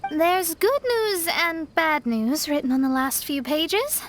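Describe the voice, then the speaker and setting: Earnest, high-voiced young investigator. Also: female voice, expressive, slow pace, slight background noise. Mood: earnest, explanatory. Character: earnest young female investigator explaining clues. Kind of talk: video game English dub acting.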